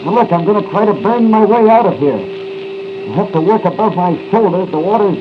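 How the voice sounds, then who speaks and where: An actor playing a plucky masked crime-fighter in 1940s radio style, urgent and commanding. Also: male voice, high voice, expressive, normal speed. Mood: strained excitement. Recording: muffled, noisy 1940s radio broadcast.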